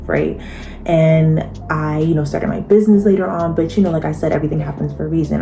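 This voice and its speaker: A woman in her twenties giving life advice in a casual vlog, chatty and emphatic, often checking in with her viewers. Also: low-pitched. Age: 20s. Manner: chatty and emphatic, frequent "okay?" and "y'all".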